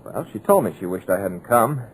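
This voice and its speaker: An actor playing an uneasy, wry scriptwriter in a 1940s radio drama, stammering and musing aloud. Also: male voice, lowish voice, big pitch swings, fairly quick. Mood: uneasy, hesitant. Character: uneasy, wry male scriptwriter, talkative and hesitant. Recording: noisy old radio recording.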